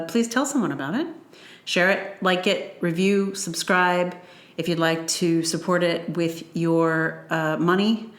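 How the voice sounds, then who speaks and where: Casual female podcast host, slow and rambling delivery, medium pitch, recorded in a reverberant room. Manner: rambling, self-interrupting asides. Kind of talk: casual solo podcast outro. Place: indoors, a room near a train line. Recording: roomy, echoey home room.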